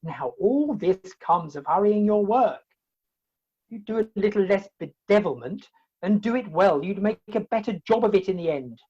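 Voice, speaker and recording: Woman as indignant theatrical hero, wordy comic period role, animated and husky, muffled online recording. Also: medium pitch, moderate pace. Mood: reproachful. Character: indignant, exasperated comic hero pleading his case. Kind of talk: comic Victorian stage play acting. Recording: dull, lo-fi video-call audio.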